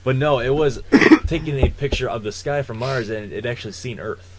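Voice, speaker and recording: A man's voice: medium pitch, a little fast, heavy background noise. A man with a cold, co-hosting a casual podcast, laid-back and swearing freely. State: sick with a cold. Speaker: podcast co-host. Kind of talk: casual chat.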